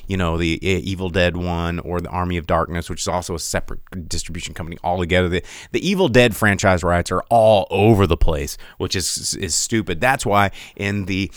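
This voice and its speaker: Smooth-voiced, rambling male podcaster. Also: low-pitched, a little fast, few pauses, no echo, clean studio sound. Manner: rambling, animated, sarcastic asides. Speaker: podcast host. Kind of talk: casual solo commentary.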